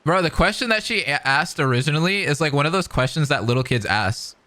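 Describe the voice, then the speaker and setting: A man's voice: fairly high pitch, animated, quick pace, sharp tone. A man giving casual, slangy reaction commentary as a game streamer. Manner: slangy, informal.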